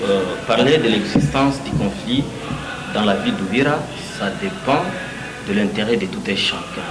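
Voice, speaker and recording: A man's voice: lowish voice, normal speed. A man with a Congolese French accent explaining his view in a debate, hesitant, searching for words in broken phrases. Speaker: debate speaker. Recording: echoey room with music behind.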